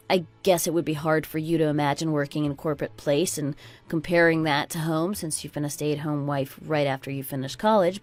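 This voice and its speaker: A woman playing a stubborn, sardonic working daughter-in-law in a radio drama, speaking in a measured, dry and pointed way. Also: lowish voice, pitched below the performer's own voice, close to an everyday voice. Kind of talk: scripted radio drama dialogue.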